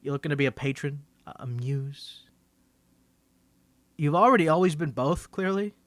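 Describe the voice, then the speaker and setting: A man's voice: a little high, fairly bright tone, dry recording, close to an everyday voice. A man playing a nervous, bantering young man with a secret superhero identity, stammering through an ASMR roleplay to the listener. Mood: playful, nervous. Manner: stammering, flustered, halting.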